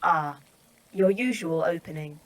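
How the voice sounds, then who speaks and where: A woman plays a calm artificial intelligence running a secret facility, speaking in a clinical, detached manner. Her voice sits a little low and steady, like a character in a sci-fi horror audio drama. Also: measured pace, natural voice, low-quality recording. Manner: clinical, detached, matter-of-fact. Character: calm precise female facility AI.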